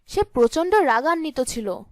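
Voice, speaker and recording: A woman's voice: high-pitched, animated, normal speed, no echo, studio quality. A woman narrating a scripted fairy tale as the storyteller.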